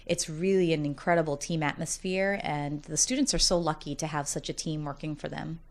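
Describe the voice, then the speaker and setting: Low-voiced chatty woman in her forties. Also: fairly even intonation, brisk. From United States, Massachusetts. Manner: talkative, upbeat storyteller who often laughs while talking. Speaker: college dean. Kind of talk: casual interview conversation.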